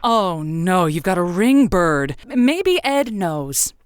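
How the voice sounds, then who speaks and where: A woman's voice: lowish voice, very animated, slow pace, no echo, clean studio sound. A woman playing a radio advice show host who hedges, stammers and waffles over what to tell her callers. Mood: waffling. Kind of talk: improvised comedy call-in show.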